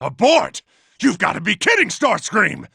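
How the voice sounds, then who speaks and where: A giant war robot from a video game, a male military tactician used to command. The voice is heavily gravelly and rasping, with a hard, cutting edge. He is impatient and forceful, and his pitch moves widely. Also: wildly swooping pitch, measured pace, no echo, clean studio sound, heavily acted. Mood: angry, urgent. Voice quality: gravelly, raspy. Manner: forceful, barking orders. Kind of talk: video game character acting.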